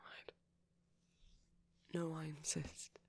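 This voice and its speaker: A performer playing a shy, caring vampire boyfriend in an intimate ASMR roleplay, speaking softly and breathily with hesitant pauses. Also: medium pitch, little pitch movement, exaggerated voice. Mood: tender, hesitant. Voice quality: breathy, often near whisper. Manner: soft-spoken, breathy, halting with gentle pauses.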